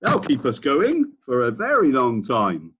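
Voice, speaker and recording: A man's voice: medium pitch, wildly swooping pitch, unhurried, fairly bright tone, slight background noise, pitched above the performer's own voice, exaggerated voice. A man voicing a cheeky, chirpy monkey who sings in a storybook reading. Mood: excited. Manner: chirpy, sing-song.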